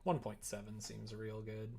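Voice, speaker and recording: A man's voice: fairly low pitch, monotone, a little slow, creaky, a little mellow. A man streaming casually, talking informally and muttering to himself as he works. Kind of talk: casual livestream chat while coding a visual novel.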